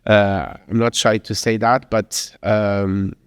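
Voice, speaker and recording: A man's voice: lowish voice, flat delivery, slow pace, smooth, no room sound, studio quality, long reverb tail. A man from Italy with an Italian accent, giving a chatty, informal presentation in English with many fillers and restarts. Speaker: speaker at a business talk. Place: venue in Lisbon.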